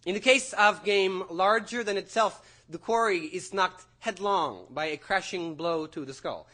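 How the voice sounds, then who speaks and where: An intense young student in an audio drama, delivering a lecture with formal precision and an undercurrent of nerves. His voice sits fairly high for a man and has a bright, tense edge. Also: unhurried. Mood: intense, precise. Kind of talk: theatrical audio drama acting.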